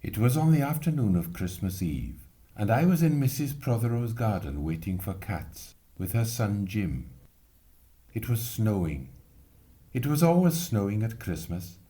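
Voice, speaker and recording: A man reading a literary story aloud with expressive narration. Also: on the low side, unhurried. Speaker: reader.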